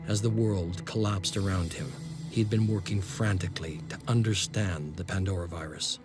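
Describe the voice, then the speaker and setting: An actor playing a solemn male chronicler, narrating a scripted video game cutscene with deliberate phrasing. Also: on the low side, close to an everyday voice. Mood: reflective. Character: solemn male chronicler of a fallen secret project. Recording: loud music behind the narration. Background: loud music.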